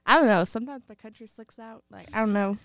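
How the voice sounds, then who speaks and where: A woman's voice: a little high, fast. A woman from rural Texas with a slight twang, chatting casually as a podcast co-host in a playful, giggly way with frequent interjections. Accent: American, slight Texas twang. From rural Texas, United States. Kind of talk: casual chat.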